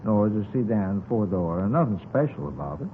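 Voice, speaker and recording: An American actor playing a chatty, folksy newsstand owner who rambles off the point, in 1950s radio drama style. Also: male voice, on the low side, animated, brisk, vocal fry. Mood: matter-of-fact, defensive. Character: chatty, rambling newsstand owner, a married man witnessing a shooting. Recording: noisy, tinny 1950s radio recording.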